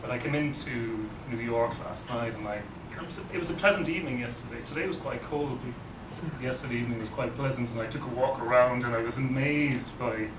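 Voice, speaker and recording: A man's voice: mid-range voice, fairly quick. Irish man speaking in an echoey room. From Ireland. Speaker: guest speaker, nonprofit CEO. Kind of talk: informal presentation to an audience. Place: event room. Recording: roomy, distant mic, background noise.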